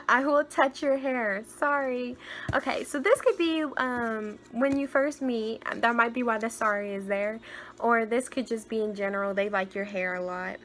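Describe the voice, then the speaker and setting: A young woman with a higher-pitched voice doing a casual tarot card reading, chatty and gushing, sometimes dropping to soft murmured asides. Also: normal speed. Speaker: tarot reader.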